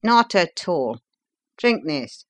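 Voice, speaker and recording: A woman's voice: on the low side, very animated, slow, fairly bright tone, exaggerated voice. Woman as a courteous, concerned Victorian doctor. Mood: reassuring, concerned.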